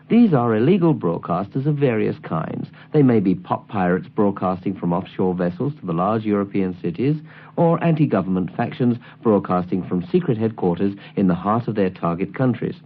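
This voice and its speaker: A low-voiced man delivers scripted instruction with widely varied intonation. He enunciates each point in a measured, precise way. The sound is thin and narrow, like an old late-1970s album recording. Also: expressive. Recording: tinny, band-limited 1979 album recording.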